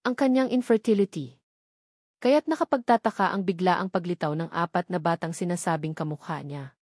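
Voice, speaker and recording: Woman narrator as a sly, soft-spoken Filipina schemer. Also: lowish voice, moderate pace, breathy edge, no room sound, studio quality. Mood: feigned worry. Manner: soft-spoken with a hint of intrigue. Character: sly, scheming, sweet-talking sophisticated woman villain. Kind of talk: dramatic storybook dialogue.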